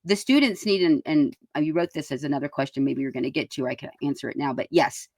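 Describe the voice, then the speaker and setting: A woman's voice: sits low, quick pace, a little mellow, dry recording, studio quality. A woman speaking as a school board member in a panel interview, emphatic and given to rhetorical questions.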